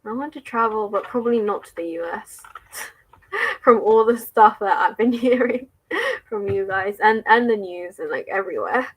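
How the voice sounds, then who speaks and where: A woman's voice: mid-range voice, expressive, normal speed, slightly rough, lo-fi sound. A woman with an English accent chatting casually on a livestream, answering viewer comments with frequent laughs, likes and restarts. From England.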